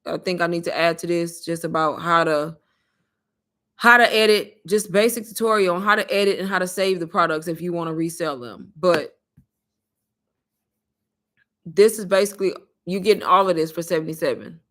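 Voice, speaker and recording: Chatty woman pitching on a livestream. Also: normal speed, long pauses, fairly bright tone. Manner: chatty, repeats herself, addresses viewers as "y'all". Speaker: online marketing coach hosting a livestream. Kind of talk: casual livestream sales pitch and Q&A.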